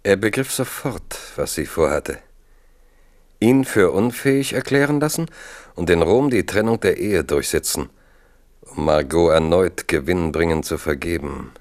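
A man's voice: low-pitched, animated, unhurried. A man narrating a radio drama in a literary, scripted style.

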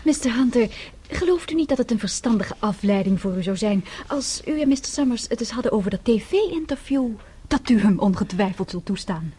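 An actress playing a prim, businesslike young woman in a radio play, formal and politely insistent, with a husky voice. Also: on the high side, lively intonation, brisk, warm tone. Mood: persuasive. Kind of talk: radio play acting in Dutch.

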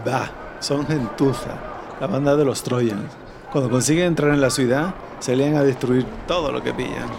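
Un hombre interpretando a un vecino callejero, cínico y campechano, de voz rasposa, en una ficción sonora. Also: male voice, mid-range voice, moderate pace, lightly acted. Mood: scornful. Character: street-wise, cynical local man, chummy. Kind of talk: audio drama acting. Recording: noisy outdoor street soundscape behind.

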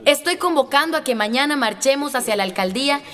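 An actress playing a firm, resolute activist leader giving a declamatory rallying speech in a radio drama. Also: female voice, high-pitched, lively intonation, fast, a crowd in the background. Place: reverberant meeting hall scene. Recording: echoey hall with long reverb.

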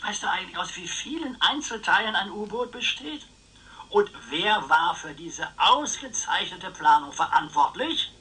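An actor playing a wily, talkative old uncle in a German radio crime drama, excitable, teasing and boastful. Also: male voice, sits high, normal speed, rough recording. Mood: proud, boastful. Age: older. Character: wily, boastful, chatty old uncle, retired wartime planner.

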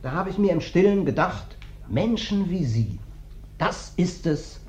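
An actor playing a sly, ingratiating vagrant guest in a German radio play, flattering and theatrical. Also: male voice, high voice, unhurried. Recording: dull, roomy old radio recording.

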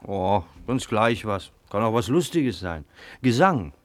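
A melancholy, self-indulgent man with a mocking streak in a radio drama. His voice is fairly low and closely recorded without echo, the delivery languid and droll. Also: sing-song, unhurried. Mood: mournful, droll. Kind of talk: German radio play acting.

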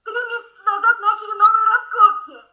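A bubbly young daughter on a dull telephone line. Her voice is extremely high and girlish, gushing with affection and exclamations, in radio play style. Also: level tone, brisk. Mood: bubbly, excited. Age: young adult. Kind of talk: Soviet radio drama acting. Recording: dull, band-limited phone-call sound.

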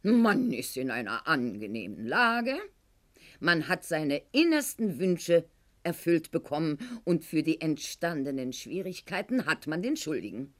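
Aging aristocratic lady, haughty, formal, unhurried, highly animated melody, theatrical radio play monologue. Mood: indignant, bitter. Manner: formal, precise, deliberate, haughty declamation. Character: proud, aging aristocratic court lady and wife, haughty, self-justifying.

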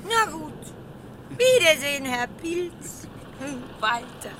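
High, swooping, headstrong wife. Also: female voice, takes it slowly, crowd noise, heavily acted. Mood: tipsy, stubborn. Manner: expressive, stubborn. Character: tipsy, headstrong wife defying her husband. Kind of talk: German radio play acting.

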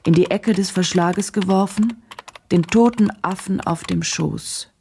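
An actress playing a sober journalist who narrates a grim case in a radio drama, measured, factual and detached. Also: female voice, lowish voice, creaky, darkish tone, slight background noise. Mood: sober, tense.

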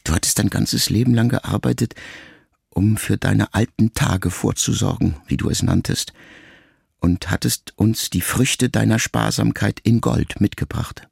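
A man reading a first-person letter as an audiobook narrator, calm and measured. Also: fairly low pitch, vocal fry, breathy edge, no echo, studio quality. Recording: music bed under the voice.

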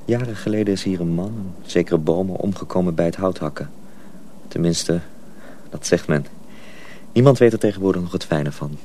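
A man playing a calm, knowing senior apprentice in a radio drama, low-key and matter-of-fact. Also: moderate pace, a little hiss or hum, close to an everyday voice. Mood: calm, grave. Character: calm, knowing older fellow apprentice at night.

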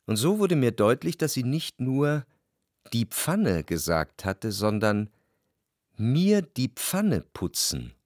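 A jealous, introspective narrator in a literary radio drama. He reflects with precision and torment in a mid-pitched voice that rises and falls widely. The recording is close and dry. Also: very animated, slow pace, no echo. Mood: haunted. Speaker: first-person narrator.

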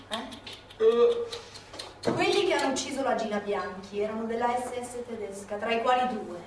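An actress playing a proud, argumentative older former partisan from Milan, with a Milanese accent and emphatic, repetitive stage delivery. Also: female voice, a little high, natural voice. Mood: grimly insistent. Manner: emphatic, repetitive, prodding with tag questions. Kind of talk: theatrical stage dialogue in colloquial dialect-tinged Italian. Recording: echoey stage, distant mic, faint noise.

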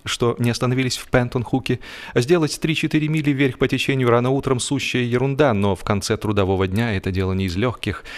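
Man narrating a humorous story expressively. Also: mid-range voice. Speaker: audiobook narrator and performer.

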